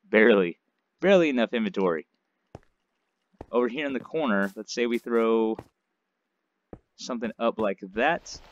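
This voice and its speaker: A man's voice: mid-range voice, muffled recording. Stuffed-up man chatting through gameplay. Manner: chatty, rambling, many fillers. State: stuffed-up nose from allergies. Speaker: gaming channel host. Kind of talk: casual let's-play commentary.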